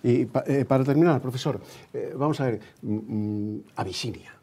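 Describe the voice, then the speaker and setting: A man's voice: mid-range voice, normal speed, dark tone, husky. A man from hosting a broadcast interview in a conversational, somewhat hesitant way, repeating words as he goes. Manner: hesitant, repeats words, checks with short tags. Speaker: TV programme host, interviewer.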